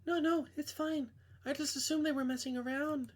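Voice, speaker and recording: A man voicing an anxious, self-conscious young woman in a visual novel, hesitant and halting. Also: extremely high pitch, steady tone, voice pushed up. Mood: bashful. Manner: hesitant, halting, brushing things off.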